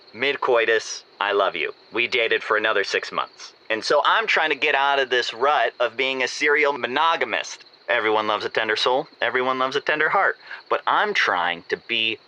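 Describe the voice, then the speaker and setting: A man's voice: medium pitch, expressive, fairly quick, pushed and bright, rough recording. A man playing a lovesick, melodramatic musical theater romantic on a comedy call-in show, theatrical and emphatic, repeating words for effect. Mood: lovelorn, dramatic.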